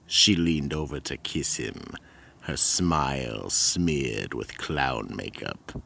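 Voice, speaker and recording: A man playing an ominous narrator of a horror radio play, with a gravelly, raspy voice. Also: very deep voice, takes it slowly, sharp tone, a little hiss or hum, full character voice. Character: ominous male narrator of a horror mystery show.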